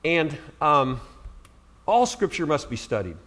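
A man's voice: on the high side, sing-song, unhurried, fairly bright tone. A middle-aged man from Ohio preaching a sermon as pastor, earnest, with emphatic rises on key words.

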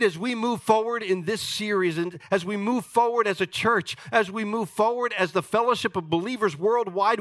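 A man in his sixties preaching a sermon as pastor, emphatic and jokey, swinging between loud points and quiet asides. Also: high voice, no room sound. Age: 60s.

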